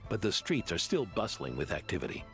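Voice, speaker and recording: A genial old innkeeper grandfather in a video game. His voice is low and swings dramatically in pitch. He is hearty, devout and courteous, with loud music playing behind him. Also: big pitch swings, a little fast. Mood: warm, welcoming. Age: older. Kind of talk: video game character dialogue.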